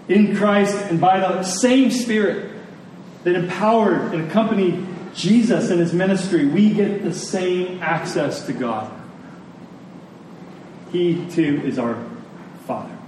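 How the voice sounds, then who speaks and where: A man with a fairly high voice delivers an earnest sermon, leaning on key phrases and pausing deliberately. He is recorded at a distance in a very reverberant room, with faint noise underneath. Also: a little mellow. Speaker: preacher. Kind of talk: sermon to a congregation.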